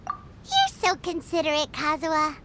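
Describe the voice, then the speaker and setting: A tiny childlike fairy sidekick from a video game, excitable and greedy. The voice is extremely high and squeaky, swings wildly in pitch and rings out brightly. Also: female voice, unhurried, slight background noise, exaggerated voice. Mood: cheerful. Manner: speaks of herself in third person. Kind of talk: animated game voice acting.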